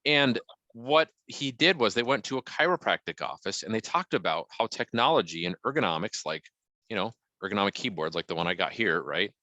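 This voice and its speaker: Middle-aged male consultant: booming, deep-leaning voice, dry close sound, emphatic and conversational. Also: animated, brisk, bright, forceful tone, no room sound. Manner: emphatic, punchy, frequently checking in with "right?". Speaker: guest marketing consultant. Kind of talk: conversational business advice to an online group.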